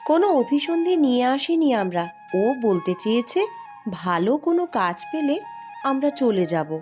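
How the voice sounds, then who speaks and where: A woman's voice: fairly high pitch, big pitch swings, normal speed, a little mellow, soft background music. A woman voicing a cautious, earnest young eldest sister, a princess, in a fairy-tale cartoon. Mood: worried. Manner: earnest, placating, admonishing.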